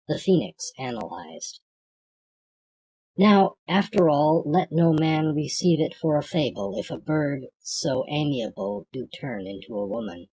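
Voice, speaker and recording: An audiobook reader reciting poetry from a script in a measured, declamatory way, with a husky, gravelly voice. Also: medium pitch, a little mellow, rough recording.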